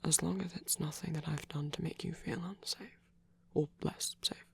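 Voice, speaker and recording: A performer voicing a gentle, slightly grumpy, possessive supernatural lover in an ASMR romance roleplay, speaking softly and tenderly with hesitant pauses and frequent whispering. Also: medium pitch, steady tone. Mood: reassuring, tender. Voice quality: soft, breathy, often whispered. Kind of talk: ASMR romance roleplay, intimate one-sided dialogue.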